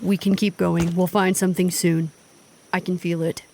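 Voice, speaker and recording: A woman's voice: lowish voice, level tone, moderate pace, dark tone, a little hiss or hum, lightly acted. An actress playing a driven, stubborn woman leading weary travelers in an audio drama, speaking in an insistent, clipped, impatient way. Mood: stubborn, pressing. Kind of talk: naturalistic audio drama acting.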